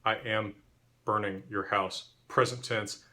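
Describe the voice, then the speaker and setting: A man explaining language history in a measured, clear, professorial lecture. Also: on the low side, fairly even intonation, bright, forceful tone.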